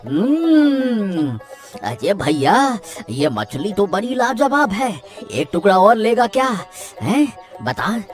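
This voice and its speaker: Man voicing a teasing cartoon villager, slow drawl, swooping pitch, amused, music behind. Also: big pitch swings, exaggerated voice. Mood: relishing. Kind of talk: Hindi cartoon comic dialogue.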